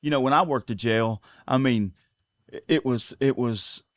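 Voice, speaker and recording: A man's voice: mid-range voice, normal speed, no room sound. Earnest Southern man, dry close sound. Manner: earnest, repeats words as he builds his points. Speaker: sheriff candidate. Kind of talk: casual interview talk. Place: local TV studio.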